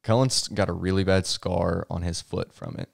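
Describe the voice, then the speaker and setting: A man's voice: low-pitched, huge pitch swings, moderate pace, creaky, dry recording. A young man co-hosting a podcast, chiming in easygoingly with asides, recorded on a close studio mic in a small room. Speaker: podcast co-host. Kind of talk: casual conversational podcast. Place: small room indoors, seated in armchair. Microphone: boom-arm studio mic close in front.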